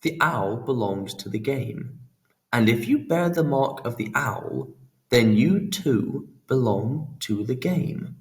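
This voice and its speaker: A man voicing a sly, tipsy male trickster god who teases and prattles, in a slow, creaky, lilting read. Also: medium pitch, lively intonation, recorded from a distance. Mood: lofty. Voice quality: playful, theatrical, prattling. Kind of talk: audiobook character voice.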